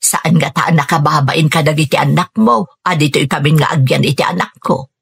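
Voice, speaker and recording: A woman's voice: low voice, normal speed, gravelly, breathy edge, heavily acted. A woman playing a hesitant middle-aged mother and new partner in a radio drama, with a Filipino accent. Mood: hesitant, hopeful.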